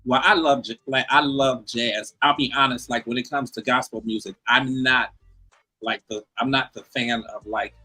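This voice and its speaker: A man's voice: medium pitch, steady tone, moderate pace, bright, forceful tone, husky, poor audio. A man co-hosting a podcast, chatting frankly and casually. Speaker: co-host. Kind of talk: casual interview chat.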